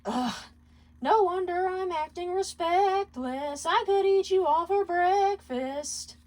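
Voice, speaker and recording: Demon underling, played by a woman: male, defiant, theatrical, high-pitched, given to smug sung comebacks. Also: slow pace, darkish tone. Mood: smug defiant singing.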